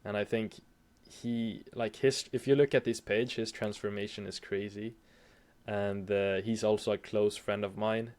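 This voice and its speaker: Soft-spoken Swedish man, halting. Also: lowish voice, flat delivery. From Sweden. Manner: hesitant, halting with frequent restarts. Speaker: guest. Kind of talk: casual interview chat.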